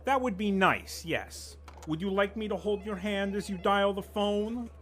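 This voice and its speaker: A man's voice: sits high, a little slow, fairly bright tone. An actor playing a pompous, condescending office vice president who lectures his staff. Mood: sarcastic. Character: pompous middle-aged male corporate boss, menacing and sly. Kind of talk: audio drama acting.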